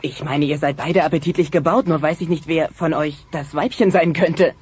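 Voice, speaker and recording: A man's voice: fairly high pitch, fairly even intonation, normal speed, darkish tone, natural voice. An actor playing an earnest, principled teenage dinosaur son, pleading his case in a German comedy radio play. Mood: wry, persuasive. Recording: muffled, noisy old cassette transfer.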